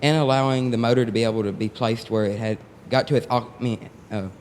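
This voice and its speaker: A man's voice: medium pitch, steady tone, fast talker, darkish tone, a little hiss or hum. A young man from Arkansas, searching for words as he explains how a robot was built. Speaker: student presenter. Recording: reverberant hall.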